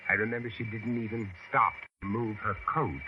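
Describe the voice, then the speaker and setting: Actor as grave, low-pitched storyteller. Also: male voice, steady tone, normal speed, lightly acted. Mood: measured recounting. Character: grave, solicitous male narrator, friend of a widow. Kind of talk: 1970s radio drama narration. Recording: muffled, noisy old radio broadcast.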